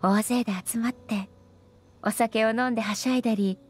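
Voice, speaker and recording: A woman voicing a dignified, gentle female ruler in a Japanese anime game, speaking politely and formally with measured composure. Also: on the high side, steady tone, soft background music, close to an everyday voice. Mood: serene, nostalgic.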